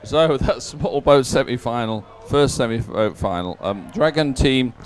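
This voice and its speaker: A man's voice: mid-range voice, a little slow, soft background music. A man hosting live sports commentary in a chatty, jovial way, as if talking with a colleague. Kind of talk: live sports commentary, relaxed co-host chat.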